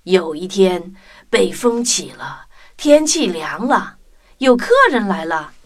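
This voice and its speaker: A woman narrating a scripted children's story in an expressive storytelling manner. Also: lowish voice, takes it slowly. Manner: expressive storytelling with sound imitations. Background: music.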